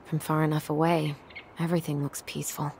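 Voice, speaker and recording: A woman's voice: low-pitched, level tone, a little fast, darkish tone, slight background noise. An actress playing a dry, guarded, teasing young American woman in naturalistic video game dialogue. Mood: subdued, guarded. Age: young adult.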